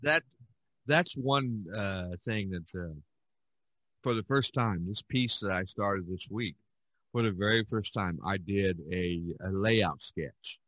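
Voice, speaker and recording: A man's voice: lowish voice, slow pace, fairly bright tone, dry recording. A man, an artist hosting a podcast, chatting casually about his own experience. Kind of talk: casual chat.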